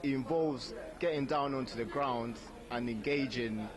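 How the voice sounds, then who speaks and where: A man's voice: mid-range voice, moderate pace. A young man from Uganda, who grew up speaking Luganda and Runyankole, answering an interviewer's questions in an earnest, thoughtful way and often repeating his first words. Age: young adult. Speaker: interviewee at community event. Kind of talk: casual street interview. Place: outdoor community event. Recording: outdoor recording with crowd noise. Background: crowd chatter.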